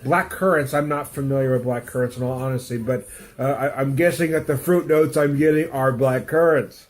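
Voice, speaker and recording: A man's voice: medium pitch, steady tone, normal speed. A middle-aged man with a stuffy nose from a cold, rambling casually through a home beer tasting review and chuckling often. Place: home room with music playing.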